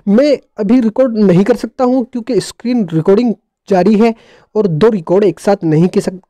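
A man's voice: high voice, a little fast, mellow, no room sound. A man narrating a casual how-to tech tutorial in a playful, jokey, colloquial way.

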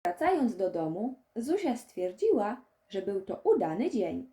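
A woman narrates a scripted story for young children. Her voice is mellow and sits at a middle pitch, and her delivery is expressive, with lively swings in intonation. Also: unhurried. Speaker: audiobook narrator.

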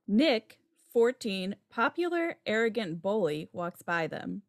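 A woman's voice: medium pitch, sing-song, takes it slowly, dry recording, studio quality. A woman reading stage directions as scripted narration for a table read. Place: home room, video call.

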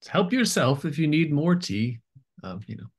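Man voicing a kindly, hesitant old lady, pitched up. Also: very animated, moderate pace, creaky, husky, heavily acted. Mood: charmed, polite. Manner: gentle, polite, hesitant. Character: kindly, grateful old woman hostess, motherly.

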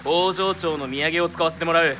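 An actor playing a calm, commanding male squad leader in a voice drama, measured and authoritative with a dry wit. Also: on the high side, a little hiss or hum. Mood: composed. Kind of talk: voice drama acting. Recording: loud music underneath.

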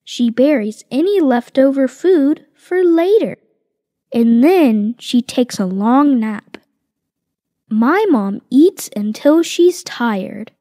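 A woman reads a picture book aloud to young children, slowly, with a lively, sing-song lilt. Her voice sits a little high and the recording is close and dry. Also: dry recording. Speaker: reader voicing tiger cubs.

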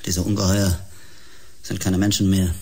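An actor playing a defensive, indignant professor in a science-fiction radio drama, formal and affronted. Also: male voice, deep voice, level tone, moderate pace, darkish tone.

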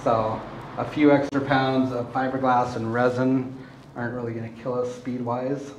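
Casual man in his thirties touring a boat refit, unhurried and plain, in a boomy hull with faint noise. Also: roomy sound, rough recording. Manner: relaxed, plainspoken, lots of ums and uhs. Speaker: boat owner showing a refit. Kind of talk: casual walkthrough video. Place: inside a fiberglass boat hold and on deck.

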